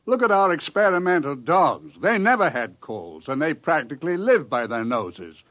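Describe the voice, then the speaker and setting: An actor playing a male research scientist who explains things in a brisk, matter-of-fact way, in 1950s radio drama style. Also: fairly high pitch, sing-song, a bit bright. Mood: explaining. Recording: dull 1950s radio broadcast.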